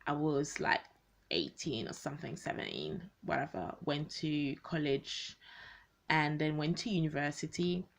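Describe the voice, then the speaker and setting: A woman in her late twenties speaks in a low register at an unhurried pace. She talks without a script to an online audience, conversationally, circling back to rephrase and putting questions to the listener. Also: animated. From born in Congo, grew up in Belgium, moved to the UK as a teenager. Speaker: video host speaking to followers. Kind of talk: unscripted personal talk to an online audience.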